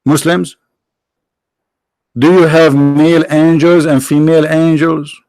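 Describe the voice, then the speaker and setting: A man whose first language is Arabic hosting a live stream, talking sarcastically, halting often on fillers and now and then breaking into mock singing. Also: a little high, level tone, darkish tone, dry recording, rough recording. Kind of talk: mocking religious debate stream.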